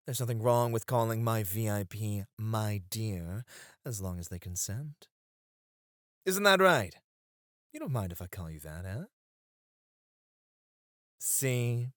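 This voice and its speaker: A man's voice: fairly low pitch, lively intonation, takes it slowly, pauses often, dry recording, clean studio sound. A man acting as a flirtatious, devoted bunny club host in an ASMR roleplay, with a teasing, courtly, eager-to-please manner. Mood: teasing, amorous.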